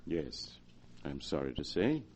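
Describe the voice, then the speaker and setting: Grave, dramatically swooping professor. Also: male voice, extremely low pitch, sing-song, a little mellow, breathy edge, full character voice. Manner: formal, measured, ominous phrasing. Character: grave male professor, theatrical scientist. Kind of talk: 1940s radio drama acting. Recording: vintage 1940s radio recording.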